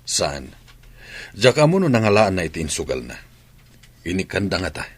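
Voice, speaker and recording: A man's voice: low voice, sing-song, moderate pace, no room sound. An actor playing a stern, grumbling middle-aged father fed up with his wife, in a radio drama.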